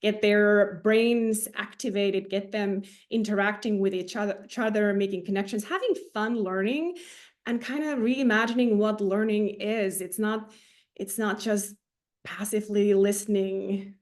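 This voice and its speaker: A woman in her thirties from Finland, a native Finnish speaker, talks as a podcast guest. She sounds enthusiastic and warm, with a mid-range voice and a few restarts as she gathers her thoughts. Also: medium pitch. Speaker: podcast guest, learning designer. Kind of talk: casual interview talk.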